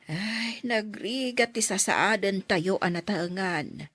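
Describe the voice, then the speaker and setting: A woman playing a resigned, ageing mother in a radio drama, with a husky voice. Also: mid-range voice, slow, fairly bright tone, no room sound, clean studio sound. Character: resigned, ageing mother sighing over life.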